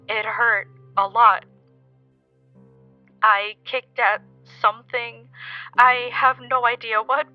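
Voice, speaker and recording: A woman's voice: mid-range voice, natural voice. A woman acting shaken young storyteller, lo-fi sound. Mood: shaken, defensive. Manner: halting, earnest, uneasy. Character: shaken young woman recounting a frightening experience. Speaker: caller telling a story. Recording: dull lo-fi recording, music underneath.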